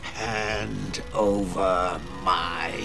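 An actor voicing a manic, sadistic clown supervillain in a video game, with a theatrical, mocking showman's delivery and a gravelly, cackling voice. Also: sits low, fairly even intonation, very slow, over music, exaggerated voice. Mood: sly.

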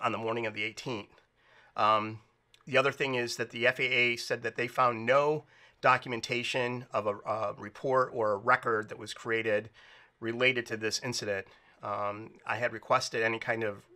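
A man's voice: mid-range voice, bright, forceful tone. A man reading out a report and explaining it informally as narrator. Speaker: narrator presenting air traffic recordings.

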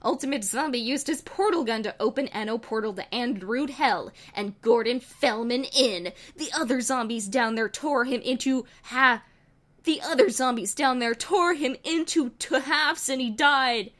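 Bright, high-voiced woman reading a story. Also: moderate pace. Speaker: narrator reading a fan fiction story.

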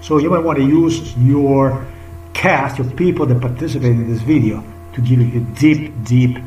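A man's voice: medium pitch, moderate pace, darkish tone. A middle-aged man with a Slovenian accent talks straight into a webcam from a small room, circling back on his points and hammering words over and over. The sound is roomy and distant from the mic, with faint music underneath. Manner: rambling, repetitive, emphatic, drops to a whisper at times. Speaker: vlogger. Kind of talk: unscripted commentary to webcam.

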